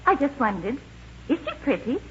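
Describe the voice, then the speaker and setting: An actress playing a timid, polite young stowaway with an English accent in 1940s radio style. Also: female voice, high voice, sing-song, moderate pace, a little hiss or hum. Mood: anxious, wistful. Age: young adult. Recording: dull old radio recording with music.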